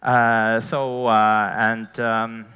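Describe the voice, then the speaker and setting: Bright-voiced man from Beijing on stage. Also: fairly low pitch, little pitch movement, very slow, clean tone. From Beijing, China. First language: Chinese. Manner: friendly, hesitant, many fillers. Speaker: conference speaker. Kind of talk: stage talk at a startup event. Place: large conference hall. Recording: long hall reverb.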